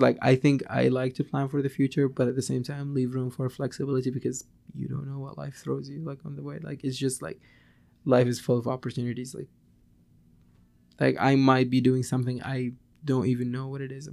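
Young man from Syria, casual interview guest, creaky voice, narrow intonation, modest and hesitant. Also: medium pitch, completely flat delivery, a little fast, darkish tone. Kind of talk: casual chat.

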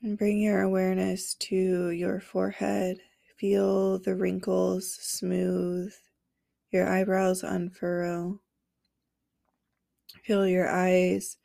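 A woman hosting a podcast, leading a guided meditation with slow, soothing instructions and long pauses, her nose stuffy from a cold. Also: mid-range voice, creaky, dark tone, no echo, studio quality.

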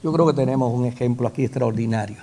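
A man's voice: medium pitch, quick pace, noisy background. A man with a Puerto Rican accent preaching a sermon, building emphatic repetitions and rhetorical questions into fervent peaks. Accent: Puerto Rican Spanish. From Puerto Rico.

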